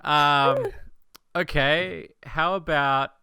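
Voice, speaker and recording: A man from Australia with a bright, smooth voice, recorded very dry. He hosts in a casual, playful style and encourages his guest. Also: a little high, fairly even intonation, very slow, no echo. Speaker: podcast host. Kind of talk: casual interview chat.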